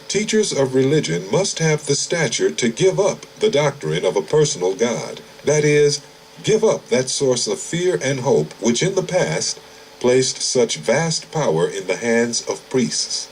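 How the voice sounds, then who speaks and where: A man reading a scripted essay aloud with measured, emphatic phrasing and deliberate pauses. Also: medium pitch, rough recording. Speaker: reader of an essay. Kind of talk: scripted essay narration with read-out quotations. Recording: clear background noise, ambient sound.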